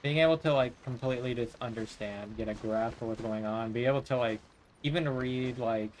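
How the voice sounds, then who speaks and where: A man's voice: mid-range voice, fairly quick, slight background noise. A man livestreaming a video game, talking in a casual, rambling way. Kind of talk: casual rambling stream chat. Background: game music.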